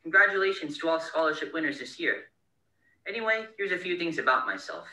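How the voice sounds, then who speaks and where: A man's voice: fairly high pitch, brisk. Teen boy reading his essay, distant. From California, United States. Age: teens. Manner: steady, earnest reading. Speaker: scholarship winner. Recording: bright, roomy, distant recording.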